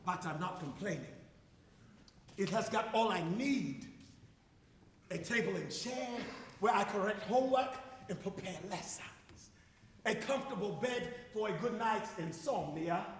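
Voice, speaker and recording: A man performing a theatrical stage monologue as an impassioned South African schoolteacher of fifty-seven, declamatory, with dramatic pauses. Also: high voice, expressive. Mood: wry, measured. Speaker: drama student actor. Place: large hall stage at commencement ceremony. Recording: echoey auditorium, distant, some noise.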